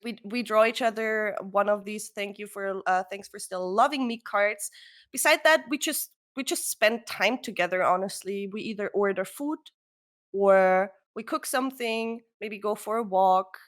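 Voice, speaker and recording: A woman's voice: medium pitch, moderate pace. A woman co-hosting a casual podcast, rambling with lots of ums and restarts. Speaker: podcast co-host.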